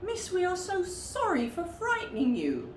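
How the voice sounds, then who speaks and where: A woman's voice: very high voice, huge pitch swings, measured pace, echoey room, a little hiss or hum, voice pushed up, heavily acted. A woman voicing a smitten, courteous male brown bear with floppy ears in a children's storybook, admiring and polite. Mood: admiring, apologetic.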